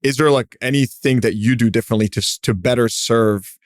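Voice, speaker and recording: A man's voice: medium pitch, brisk, no room sound, clean studio sound. A man hosting a business podcast, asking curious questions in a loose style full of fillers. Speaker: podcast host. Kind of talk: podcast interview.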